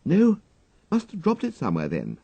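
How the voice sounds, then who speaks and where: Audiobook role: an excitable English toff, young and flustered, pitch leaping high, full of exclamations. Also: male voice, normal speed, vocal fry, breathy edge, dry recording, dull audio, full character voice. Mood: breezy, eager. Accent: upper-class English. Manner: hesitant, exclamatory, prone to dated slang.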